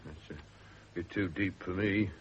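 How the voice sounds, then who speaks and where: An actor playing an amiable, slow-witted man in a radio drama, hesitant and plain-spoken, muttering a little. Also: low-pitched. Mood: amiable, baffled. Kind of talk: 1970s British radio drama acting. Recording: dull, noisy old radio drama recording.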